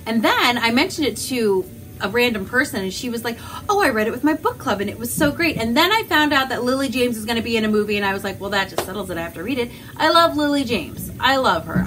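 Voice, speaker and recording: A woman chatting casually as a book vlogger, animated and rambling, with self-deprecating asides. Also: fairly high pitch, rough recording. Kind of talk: casual chatty vlog to camera.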